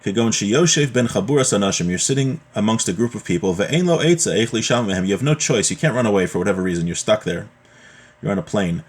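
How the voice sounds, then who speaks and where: A man's voice: lowish voice, expressive, fast, husky. A man giving an informal religious lesson, reading from a text and explaining it point by point with rhetorical questions. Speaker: religion teacher giving a class.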